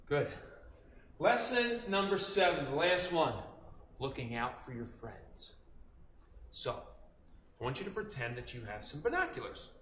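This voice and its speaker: Animated, high-voiced man telling children's stories. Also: reverberant, slight background noise. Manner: animated, instructive, emphatic pauses. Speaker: children's storyteller. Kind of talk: interactive storytelling for young children.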